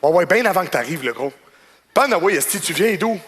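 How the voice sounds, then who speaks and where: A bright, forceful male voice pitched high as a stage comic plays him, a pushy, prejudiced Quebecois customer with a thick local accent. He is blunt and belligerent, throwing curses into his complaints. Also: animated, fairly quick, in a large hall. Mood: suspicious. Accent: Quebec French.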